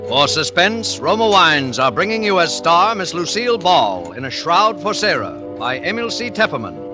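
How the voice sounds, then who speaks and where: Vintage radio show intro guy, dramatic, kinda high voice, music behind him. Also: sing-song, normal speed. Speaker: program announcer.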